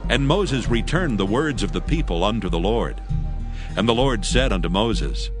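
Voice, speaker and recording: A man with a lowish voice narrates scripture for an audio drama. His delivery is solemn and measured, and music plays clearly behind him. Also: animated.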